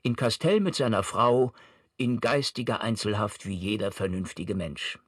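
An actor playing a dry, impersonal reporter in a radio play, delivering scripted narration in a husky voice. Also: male voice, lowish voice, normal speed, dry recording, close to an everyday voice. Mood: dry, factual. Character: dry, impersonal male reporter-commentator on a writer.

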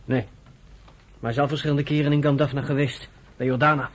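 A man playing an earnest young recruit to an underground movement in a radio play. His voice is husky and medium in pitch, determined, and a little distant from the microphone. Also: little pitch movement, brisk, close to an everyday voice. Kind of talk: radio drama acting.

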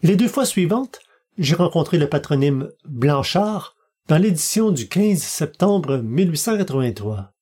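A man hosts a podcast, reading scripted narration in a measured, formal way. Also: a little high, very animated, dark tone, breathy edge, faint music behind. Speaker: podcast host.

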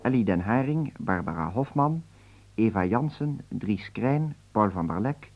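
A man announcing the credits of a radio play in a formal, even way. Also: lowish voice, unhurried. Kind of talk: reading programme credits. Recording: dull old radio recording.

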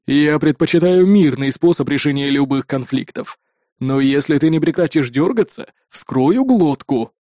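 A man's voice: fairly high pitch, expressive, normal speed, dark tone, no echo, clean studio sound, pitched below the performer's own voice, close to an everyday voice. A man voicing a cold, menacing armoured raider who talks with scornful threat in an audiobook story. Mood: mocking menace.

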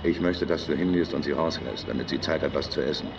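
A man's voice: a bass voice, quick pace, vocal fry. An actor playing a weary man phoning home in a radio crime drama, his voice muffled as if on a telephone. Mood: tense. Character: weary Harlem man calling from afar. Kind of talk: radio play acting. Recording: muffled phone call with street noise.

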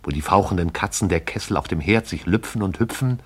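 A deep male storyteller for a radio play. He narrates in a measured, poetic way, lingering over lyrical description. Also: expressive, husky.

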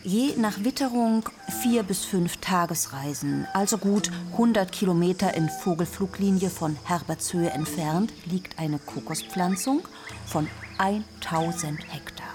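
A woman plays a resolute businesswoman past fifty in a radio drama. Her voice sits low, and she talks like a practised, persuasive seller with a shrewd edge. Music plays behind her. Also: natural voice. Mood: composed, persuasive. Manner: measured, persuasive salesmanship. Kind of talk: German radio drama acting.

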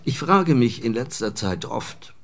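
A pompous, lively-voiced official. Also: male voice, mid-range voice, unhurried. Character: pompous male official and physician, theorizing. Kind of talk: radio play acting.

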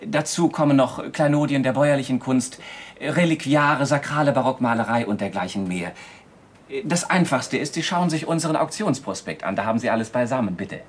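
An actor playing a polished, formal, long-winded art patron in a 1960s German television series. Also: male voice, expressive, brisk, breathy edge. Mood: courteous, self-assured. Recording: noisy 1960s TV soundtrack with rumble.

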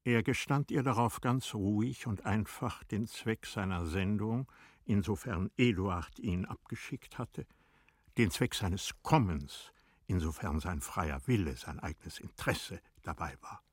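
A man reads scripted literary narration for a radio drama. His lowish, husky voice moves slowly and solemnly, recorded very dry and close. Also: dry recording. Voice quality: low, husky. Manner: solemn, deliberate, hushed at times.

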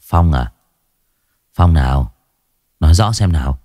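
A gruff investigator barks questions in a low, heavy voice, as a male storyteller plays him. He is blunt and coarse, with a dry, close sound. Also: lively intonation, measured pace, warm tone, no echo. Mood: stern grilling. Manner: gruff interrogating, coarse-spoken. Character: stern male police investigator, blunt and commanding.

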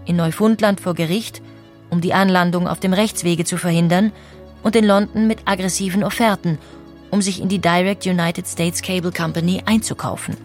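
Woman, documentary narrator, composed storytelling, lowish voice, dry sound, faint music behind. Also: fairly even intonation, moderate pace, darkish tone.

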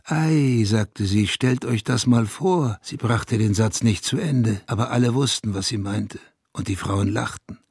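Very husky, gravelly townsman narrator. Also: on the low side, measured pace, darkish tone, no room sound, clean studio sound. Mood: wry recollection. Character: reflective townsman narrator recalling local gossip. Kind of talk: literary radio drama narration.

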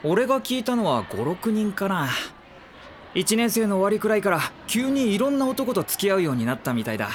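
A man's voice: high voice, big pitch swings, brisk, a little hiss or hum. A man voicing a blunt teenage schoolboy classmate who passes on gossip in a matter-of-fact way. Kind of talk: studio audio drama.

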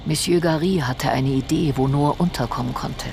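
An actress playing a sober intelligence officer who narrates in a factual, restrained report style for a radio drama. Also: female voice, deep voice, moderate pace, darkish tone, slight background noise.

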